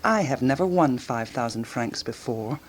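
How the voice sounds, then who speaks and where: A woman's voice: rumbling low, lively intonation, moderate pace, a little hiss or hum. A woman playing a self-assured amateur detective of 1920s Paris in a radio drama, emphatic and theatrical. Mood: intrigued, scheming.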